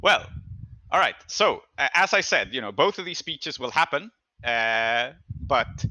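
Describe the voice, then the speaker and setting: A man's voice: medium pitch, lively intonation, unhurried, pushed and bright, no room sound, rough recording. A man narrating and refereeing an improvised tabletop roleplaying game as game master.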